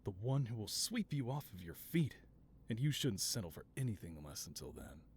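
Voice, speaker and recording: Casting: male actor as affable mad scientist villain for audio roleplay, lowish voice, swooping delivery, grandiose yet devoted. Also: very animated, normal speed, a little hiss or hum. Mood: earnest, doting. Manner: doting, theatrical, softly reassuring then grandiose. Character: affable, theatrical supervillain mad scientist boss, devoted to assistant. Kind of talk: scripted audio roleplay, one-sided conversation.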